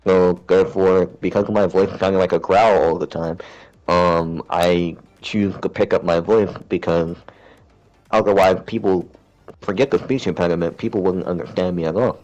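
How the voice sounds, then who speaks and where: Man, very low and gravelly, shy and hesitant casual chatter, muffled lo-fi audio. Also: steady tone, moderate pace, faint music behind. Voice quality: deep, gravelly voice kept raised. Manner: rambling, hesitant, frequent fillers and restarts; soft-spoken, self-described shy. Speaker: gamer chatting on stream. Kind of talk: casual chat.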